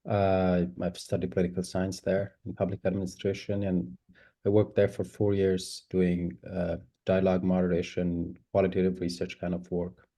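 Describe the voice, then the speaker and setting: Gravelly deep-voiced man from Afghanistan. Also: monotone, dark tone. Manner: halting, with many restarts and fillers. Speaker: fellowship member. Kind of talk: video call Q&A. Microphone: video call.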